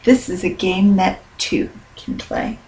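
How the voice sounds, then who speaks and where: A woman's voice: on the low side, huge pitch swings, takes it slowly, creaky, darkish tone, heavily acted. A woman voicing a sensible, playful female bear scout leader from a children's storybook in a reassuring, explanatory way. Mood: sly. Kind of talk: children's storybook read-aloud. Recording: roomy, echoing sound.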